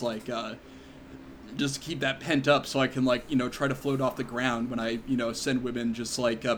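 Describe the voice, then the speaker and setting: A man co-hosts a podcast in loose, joking chat, wandering off into tangents and filling pauses with "like". His voice sits at an ordinary pitch. A faint hum of fans runs under the recording. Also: fairly bright tone. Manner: joking, rambling, fond of filler words. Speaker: podcast co-host. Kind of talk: casual comedic chat. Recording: faint room noise, fans in background.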